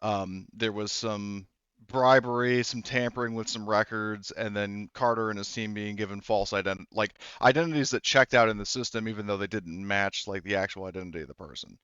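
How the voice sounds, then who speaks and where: A man's voice: fairly low pitch, a little fast, a bit bright, clear, resonant tone, no room sound, dull audio. A man speaking conversationally as a game master, explaining things to the players in a tabletop roleplaying game.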